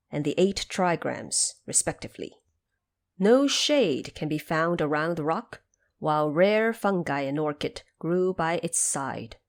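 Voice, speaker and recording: A woman narrating a mythic tale in a scripted audio drama style, with measured, explanatory phrasing. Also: on the low side.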